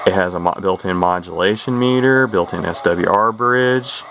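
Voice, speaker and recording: A man giving a rambling, casual showcase as a radio collector, halting and repeating his words as he goes. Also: low voice. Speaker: radio collector presenting his own equipment. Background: radio speaker playing static.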